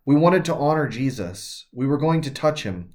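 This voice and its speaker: A fervent woman disciple pours out her shaking grief and wonder in an urgent, intimate confession, as a man reads her in the first person. Her voice sits at an ordinary middle pitch. It is a devotional reading told in character. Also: expressive, moderate pace, darkish tone, close to an everyday voice. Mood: distraught. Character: shaken grieving woman disciple, fervent and awestruck.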